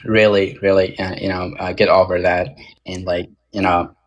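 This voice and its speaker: A young man with a somewhat low voice talks in a halting, casual way. He restarts often and leans on fillers as a podcast guest. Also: level tone, highs cut off. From Tajik American, born in Moscow. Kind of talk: casual interview talk.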